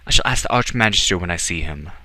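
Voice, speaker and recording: A man's voice: deep voice, animated, fast, pushed and bright, no echo, exaggerated voice. A man voicing an anxious, earnest boy, hesitant yet defiant, in a storybook reading. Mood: insistent. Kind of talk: audiobook character acting.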